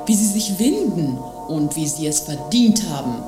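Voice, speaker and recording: A woman's voice: sits low, very animated, normal speed. Woman acting scornful underground political activist. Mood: sarcastic. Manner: sarcastic, dry, disdainful. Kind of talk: scripted radio play dialogue. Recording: music behind the dialogue.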